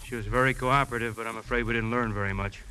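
An actor playing a plain-spoken, matter-of-fact police lieutenant in a 1950s radio drama. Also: male voice, mid-range voice, fairly even intonation, rapid-fire, bright, forceful tone, natural voice, low-quality recording. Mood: apologetic. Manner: matter-of-fact, slightly apologetic. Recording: faintly hissy 1950s radio recording.

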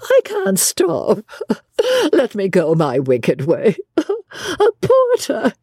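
A giggly, bossy middle-aged lady in an audiobook novel. The voice leaps high and swoops wildly, full of gasping exclamations, with a breathy, husky edge. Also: wildly swooping pitch, extremely slow, a little mellow, dry recording, clean studio sound, heavily acted. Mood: helpless giggling. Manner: gasping, exclamatory, breaking into laughter. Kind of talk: audiobook character voice.

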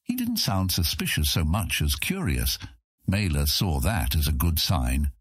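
A man's voice: very deep voice, very animated, unhurried, husky, no room sound, clean studio sound. A man narrating scripted fantasy fiction as an audiobook narrator.

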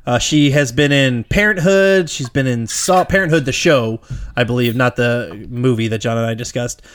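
A man co-hosting a casual movie podcast, animated and laughing often, his voice jumping up when he gets worked up. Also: medium pitch, moderate pace. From Georgia, US. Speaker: podcast co-host. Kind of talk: casual movie review chat.

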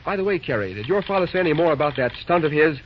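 An actor playing an earnest, businesslike railroad train dispatcher with colloquial talk in an old-time radio drama. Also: male voice, on the high side, lively intonation, very fast, lightly acted. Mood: confident. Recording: muffled old radio recording, faint noise.

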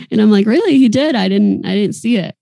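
Young woman cohosting a podcast, giggly chatty, gravelly low-bright voice, dry sound. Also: dry recording. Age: 20s. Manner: chatty, giggly, animated storytelling. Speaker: podcast co-host. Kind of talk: casual chat.

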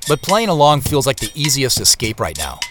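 A man's voice: mid-range voice, huge pitch swings, brisk. A man playing a wary, guarded narrator telling his own story introspectively in an audio drama. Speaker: lead actor and narrator. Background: music.

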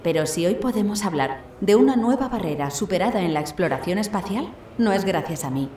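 A woman's voice: medium pitch, expressive, brisk, mellow, natural voice. A woman with an accent from Spain playing a modest, sincere astronaut captain at a press conference in an audio drama, speaking in a hesitant, conversational way. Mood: grateful, modest. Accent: Spanish from Spain. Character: overwhelmed, modest blind woman astronaut, pioneering mission captain. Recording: roomy echo, slight background noise.

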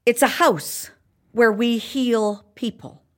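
A curt woman healer in a comic fantasy audio drama. Her voice is a little high. She is dry and sarcastic, with exasperation under a professional surface. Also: fairly even intonation, slow pace. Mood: defensive, dry.

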